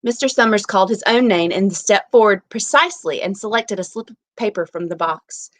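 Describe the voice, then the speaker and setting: Woman giving a measured dramatic reading. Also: medium pitch, animated, no echo. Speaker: reader at a literary event. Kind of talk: dramatic short story reading.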